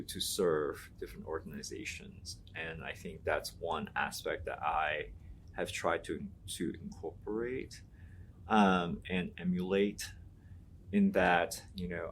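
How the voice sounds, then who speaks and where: Earnest low-voiced man, native Mandarin speaker. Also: a little hiss or hum. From Taiwan. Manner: earnest, thinking aloud with many "you know" fillers and restarts. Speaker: Latin teacher, interview guest. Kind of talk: reflective interview answers.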